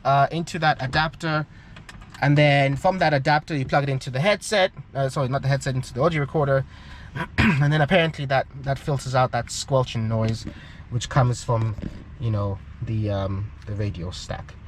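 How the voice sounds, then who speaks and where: A man's voice: mid-range voice, moderate pace, slight background noise. A man with a South African accent explains gear in an informal tutorial, talking in a hesitant, explanatory way and often checking in as he goes. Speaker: aviation channel host.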